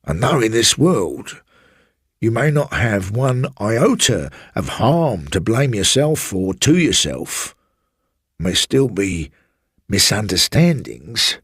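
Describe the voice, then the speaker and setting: A man voicing a sly, brooding elderly former servant with a rustic working-class English accent, telling his tale slowly and insinuatingly in a husky voice. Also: lowish voice, very animated, fairly bright tone, dry recording, clean studio sound, voice pushed up, exaggerated voice. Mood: defensive, ominous. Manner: slow, insinuating, colloquial storytelling. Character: sly elderly former manservant, evasive and brooding, recounting a haunting.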